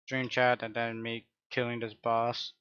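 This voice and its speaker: A man's voice: mid-range voice, monotone, unhurried, a bit bright, clean tone, muffled recording. A man casually chatting with his viewers and a guest while he streams a game, keeping his replies short and laid-back. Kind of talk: casual chat while gaming.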